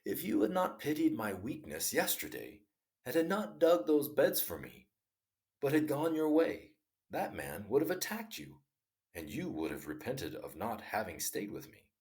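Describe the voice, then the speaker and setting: A man's voice: medium pitch, wildly swooping pitch, a little mellow, voice pushed up. A man voicing an old male hermit who patiently explains a moral lesson in a read-aloud story. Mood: instructive. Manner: patient, instructive, measured storybook reading.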